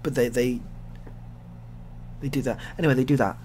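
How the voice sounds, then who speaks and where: A man telling improvised stories in a soft-spoken ASMR style, with a rambling, repetitive, deadpan delivery. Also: mid-range voice, normal speed. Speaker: ASMR storyteller. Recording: clearly audible background noise.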